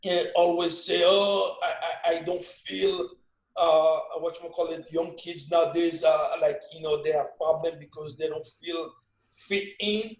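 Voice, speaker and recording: Older Haitian-accented man, high voice. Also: measured pace, roomy sound, poor audio. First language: Haitian Creole. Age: 60s. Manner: animated storytelling, broken English phrasing. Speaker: guest, father. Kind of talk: casual family conversation.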